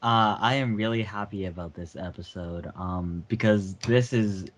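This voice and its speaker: A man chatting casually with a halting delivery on a dull lo-fi mic. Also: lowish voice. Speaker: amateur voice actor. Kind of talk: casual chat.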